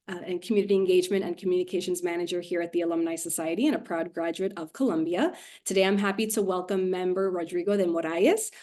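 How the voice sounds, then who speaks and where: A woman's voice: on the low side, dark tone. A woman hosting a webinar interview on a video call, friendly, with a partly scripted intro and some "uh" fillers. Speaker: host, community manager.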